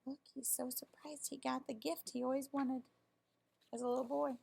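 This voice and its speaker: A woman reading a picture book in verse aloud as an expressive storyteller. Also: high voice, normal speed, gravelly, husky. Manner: expressive, addresses listeners in asides.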